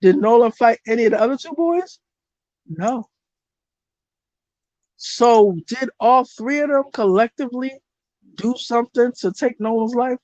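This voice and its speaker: A woman running a casual livestream as a spiritual reader, blunt and profane, with a voice that is going hoarse. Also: a little high, unhurried, long pauses, no room sound, dull audio. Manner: blunt, profane and animated, talks to her chat. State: losing her voice.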